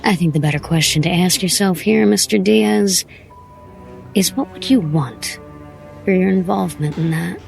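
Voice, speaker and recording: A scheming female crime boss in an audio drama, acted by a woman, speaks in a low voice with plenty of fry. She is coy, smug and measured, with music playing underneath. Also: a little mellow. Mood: smug, enticing.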